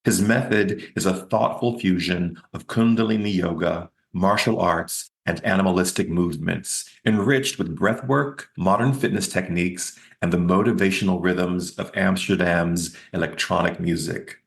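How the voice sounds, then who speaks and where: A man hosting an interview show, reading a measured scripted introduction and then asking questions. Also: low-pitched, steady tone, vocal fry. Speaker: podcast host.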